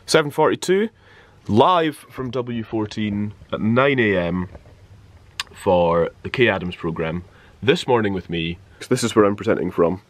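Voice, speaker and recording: A man's voice: lively intonation, a little slow, dry recording. A man in his twenties, a radio presenter, chatting casually to camera with wry, understated asides. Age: 20s. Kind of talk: casual vlog chat to camera. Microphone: handheld vlog camera. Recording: noisy handheld camera audio, background rumble.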